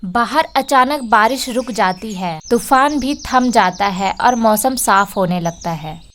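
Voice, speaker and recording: Lady telling a kids cartoon story, slow and clear, high pitched, music in the back. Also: a bit bright, slight background noise. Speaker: narrator. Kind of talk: scripted storybook narration.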